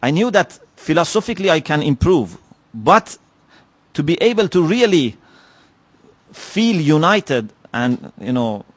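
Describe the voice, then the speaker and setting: A man's voice: fairly high pitch, sing-song. Reflective man lecturing. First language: Persian. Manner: measured, reflective, with many pauses and "you know" fillers. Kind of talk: religious lecture. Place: lecture hall.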